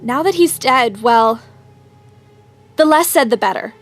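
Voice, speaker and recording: A woman's voice: a little high, animated, a little slow, soft background music. A woman playing a spoiled, haughty rich teenage girl who tells her father about a horrible night, in a dramatic storytelling manner. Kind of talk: fan audio drama narration.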